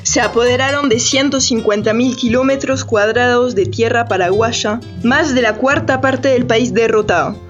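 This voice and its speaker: An actress voices an aggrieved woman in radio theatre. Her high voice recites dramatically and denounces destruction. Music plays clearly behind her. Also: moderate pace, few pauses, highs cut off, natural voice. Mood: grave.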